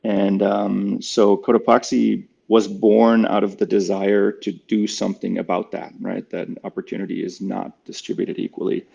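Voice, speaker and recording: Low gravelly German-born man, reflective. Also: little pitch movement, moderate pace, hardly any pauses, darkish tone, highs cut off. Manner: many fillers and restarts, reflective. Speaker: guest, company cofounder. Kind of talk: conversational interview answers.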